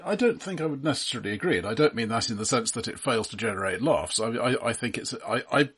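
A man co-hosting a podcast, explaining things in a measured way during casual film discussion. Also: mid-range voice, lively intonation, fairly bright tone, husky. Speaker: podcast co-host.

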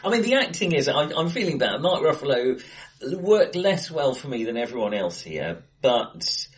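A man co-hosting a film discussion in casual chat, hesitant and often rewording himself, recorded in a bright, echoey room. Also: medium pitch, big pitch swings, moderate pace. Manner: hesitant, self-correcting, rambling. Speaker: film podcast co-host. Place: indoors in an acoustically bright room.

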